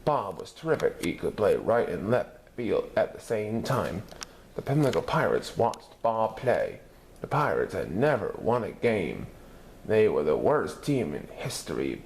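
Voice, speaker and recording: A man reading a children's picture book aloud in an animated storytelling manner, putting on voices for characters. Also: fairly low pitch, unhurried, creaky, a little hiss or hum. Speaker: story reader.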